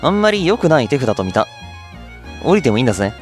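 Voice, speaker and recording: A man voicing a hot-headed, cocky young man, a sore loser with rough, blustering, slangy talk. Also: mid-range voice, big pitch swings, fast, soft background music, lightly acted.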